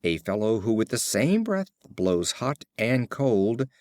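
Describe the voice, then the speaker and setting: A man's voice: lowish voice, sing-song, takes it slowly, darkish tone, dry recording. Stern, distrustful satyr, read by a man, dry sound. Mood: stern rejection. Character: distrustful, judgmental male satyr renouncing a friendship.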